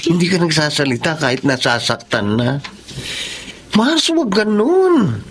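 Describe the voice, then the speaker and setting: A woman's voice: low-pitched, sing-song, a little slow, husky, heavily acted. A woman playing a supportive, frank young best friend in a Filipino radio drama, teasing and advising.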